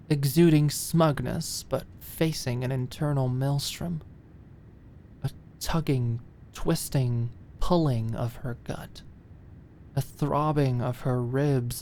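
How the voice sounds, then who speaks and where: A woman's voice: rumbling low, mellow, slight background noise. A woman reading dramatic scripted narration as an audiobook narrator.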